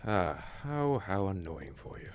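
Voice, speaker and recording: A man playing a flustered, scatterbrained gentleman in an audio drama, with a hesitant, fussy, wry manner. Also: sits low, sing-song, unhurried, a little hiss or hum.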